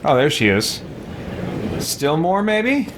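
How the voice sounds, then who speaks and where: Animated man muttering through game commentary. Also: fairly high pitch, takes it slowly, noisy background. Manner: muttering, thinking aloud. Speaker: gaming video host. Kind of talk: casual let's play commentary.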